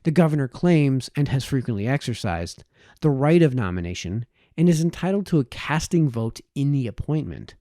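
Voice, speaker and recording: Man reading aloud, lively pitch, mellow tone. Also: moderate pace, no room sound, clean studio sound. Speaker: audiobook reader. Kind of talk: read-aloud of a formal historical political essay.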